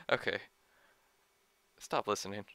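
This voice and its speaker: A man's voice: sharp tone, dry recording. A young man casually commenting on his gameplay, chatty and self-deprecating. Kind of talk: let's play commentary.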